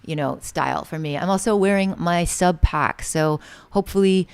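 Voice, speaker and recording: A woman's voice: fairly low pitch, normal speed, creaky, smooth. Chatty woman hosting a music stream. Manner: chatty, enthusiastic, loose with fillers. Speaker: livestream host. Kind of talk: upbeat casual stream hosting.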